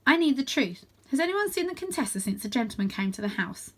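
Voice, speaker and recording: A woman's voice: high-pitched, big pitch swings, quick pace, slightly rough, voice pushed up, heavily acted. A woman voicing a young male cat hero from a storybook, earnest and anxious but determined, speaking with urgent, emphatic questions.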